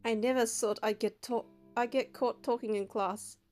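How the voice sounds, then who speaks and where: A woman's voice: fairly high pitch, fairly even intonation, moderate pace, a little mellow. A young woman narrating a game playthrough, reading the story and the player character's part in a casual way. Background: music.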